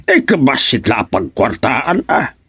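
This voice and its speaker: A man playing a fussy, excitable older villager in a radio comedy drama, speaking with an Ilocano accent and lots of exclamations. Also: medium pitch, a little slow, slightly rough, exaggerated voice. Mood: fussing. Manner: exclamatory, pleading, animated.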